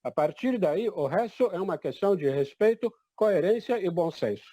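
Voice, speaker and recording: A man's voice: high voice, lively intonation, normal speed, warm tone, no echo, clean studio sound. An older man born in Egypt, giving an emphatic, declarative personal testimony at a public talk. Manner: emphatic, declarative, repeats "definitivamente". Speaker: event participant.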